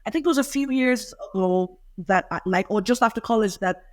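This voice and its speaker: A woman's voice: medium pitch, a little fast, a little mellow, no echo. Reflective young woman, dry sound. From Ireland. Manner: reflective, thoughtful. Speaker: poet and interview guest. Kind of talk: interview answers.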